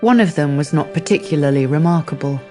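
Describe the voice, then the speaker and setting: A woman's voice: sits low, fast talker, a little mellow. A woman delivering scripted narration for a radio drama. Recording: faint music underneath.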